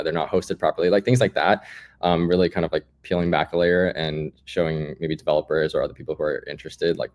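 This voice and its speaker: A man with a very deep voice talks as a guest in an interview. He recounts his experiences in a casual, explanatory way, as if chatting through a story. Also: a little mellow. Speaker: guest, startup founder.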